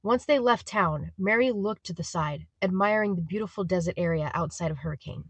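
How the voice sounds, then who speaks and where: A woman's voice: on the low side, a little fast, no echo. A woman reading scripted story narration as the narrator of an audio drama.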